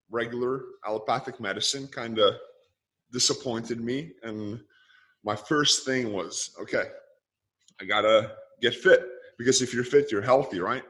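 A man speaks as a podcast guest in easy, casual talk. He is a coach and lays out his points in quick, stacked lists. His voice sits at an ordinary middle pitch. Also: fairly bright tone. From Lithuania. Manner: quick, lists ideas one after another.

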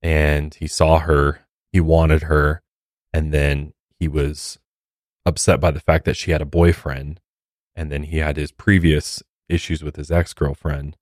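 A man with an extremely deep voice, caught in a dry, close studio sound. He works as a podcast co-host, reacting casually and offering blunt opinions in conversation. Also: flat delivery, measured pace, dry recording.

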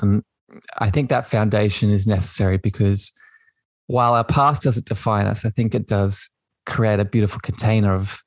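A man's voice: on the low side, no echo. A man hosting a podcast, talking in a gushing, spiritual way with his guest. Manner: warm, gushing, spiritual phrasing. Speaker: podcast host. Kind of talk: casual podcast interview.

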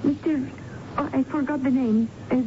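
A woman's voice: high-pitched, fairly even intonation, normal speed, close to an everyday voice. A woman playing a flustered, forgetful widow in a radio drama, polite but vague. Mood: vague, evasive. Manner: flustered, vague, formal foreign phrasing. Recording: dull, noisy 1970s radio broadcast recording.

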